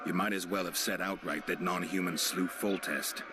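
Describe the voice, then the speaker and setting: A man playing a stoic, dry-witted monster hunter, terse and deadpan in game dialogue. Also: low voice, moderate pace, noisy background, lightly acted. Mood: calm, dry.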